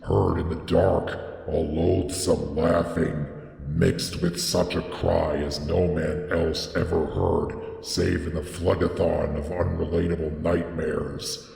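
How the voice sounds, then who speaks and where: A man reads gothic horror with solemn drama. His voice is low and heavily gravelled, his delivery slow, all wrapped in roomy, lingering reverb. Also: level tone, a little mellow, faint music behind. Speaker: audiobook narrator. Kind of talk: scripted gothic horror narration. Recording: echoey hall with long reverb.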